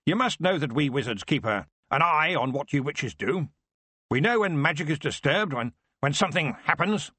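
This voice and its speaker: A grand old wizard, as a male narrator puts him on, booming and brusque with no time for small talk. His husky voice swings widely in pitch, recorded close and dry. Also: big pitch swings, brisk, sharp tone, no room sound, heavily acted.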